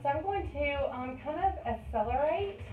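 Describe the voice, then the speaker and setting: A woman's voice: sits high, animated, normal speed. A woman in her thirties, a hairstylist, chatting and explaining each step as she cuts hair for a tutorial. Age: 30s. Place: hair salon. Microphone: phone camera. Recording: echoey room, tinny phone-like sound, faint noise.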